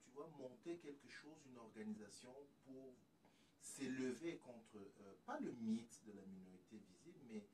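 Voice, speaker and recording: Distant, noisy-sounding man hosting haltingly. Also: mid-range voice, expressive, darkish tone. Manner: halting, circles around his point. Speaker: host. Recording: distant mic with noise.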